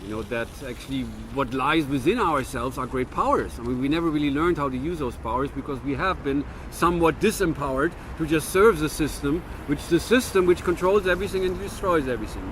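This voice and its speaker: A man's voice: fairly high pitch, a little hiss or hum. A man in his fifties from Germany with a German-American accent, musing earnestly to camera in a reflective monologue with frequent restarts. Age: 50s. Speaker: vlogger.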